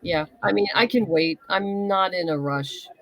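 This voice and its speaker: A woman's voice: lowish voice, moderate pace. A woman answering as an interview guest in casual chat, easygoing, modest and thoughtful.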